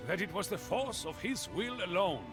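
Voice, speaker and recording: A man acting as a dramatic storyteller, narrating medieval history for a game's storybook scenes with grave, theatrical, deliberate phrasing. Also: high voice, animated. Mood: solemn, stirring. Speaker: campaign narrator. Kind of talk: scripted storybook narration for a historical strategy game. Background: music.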